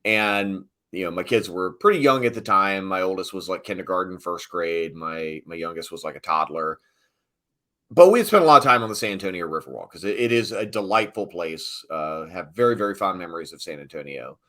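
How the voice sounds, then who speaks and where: A man's voice: low-pitched, a little fast, pushed and bright. A middle-aged man chatting casually as an interview guest, telling stories in an informal, emphatic way. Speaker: sports PA announcer, interview guest. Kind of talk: casual storytelling interview chat.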